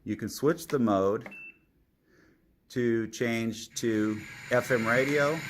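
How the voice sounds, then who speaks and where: Slow-talking, low-voiced man reviewing gear. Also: a little hiss or hum. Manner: measured, methodical explaining. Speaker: product reviewer. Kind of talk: product demonstration narration.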